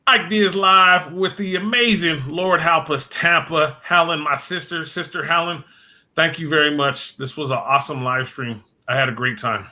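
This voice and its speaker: Bright-voiced host losing his voice. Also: on the high side, normal speed. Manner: rambling, repeats words, thanks viewers by name. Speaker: livestream host. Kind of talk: casual livestream chat.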